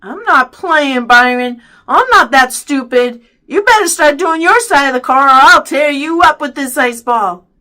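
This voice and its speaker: Storybook role: bossy little kid, played by a woman, high, bright, excitable and skeptical. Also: measured pace, few pauses, exaggerated voice. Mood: defiant. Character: feisty, skeptical younger child, bossy, easily alarmed.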